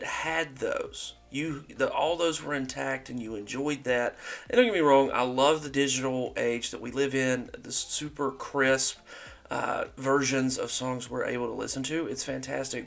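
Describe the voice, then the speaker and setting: A man's voice: mid-range voice, gravelly, fairly bright tone. A man with an ordinary mid-range voice hosts a casual music reaction. He talks in an upbeat, chatty way, like someone sharing commentary with regular followers. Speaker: host of music reaction channel.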